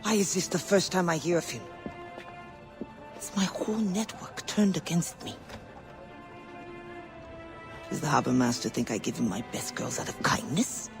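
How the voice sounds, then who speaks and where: A man's voice: a little high, moderate pace, creaky, over music. A man playing a shrewd, imperious schemer in a video game, with a theatrical manner. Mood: indignant.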